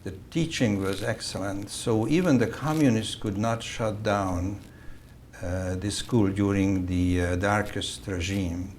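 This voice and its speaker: A man in his seventies from Hungary, a native Hungarian speaker, telling his life story in a slow, halting way with many fillers and restarts. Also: on the low side, fairly even intonation, a little mellow, a little hiss or hum. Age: 70s. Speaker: interview guest, vascular surgeon. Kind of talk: recorded oral-history interview.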